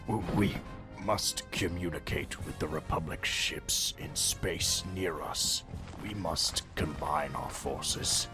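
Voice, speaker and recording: A man voicing a commanding, solemn old Jedi master with grave, halting delivery in a fan comic dub. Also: very deep voice, music in the background. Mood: grave, determined.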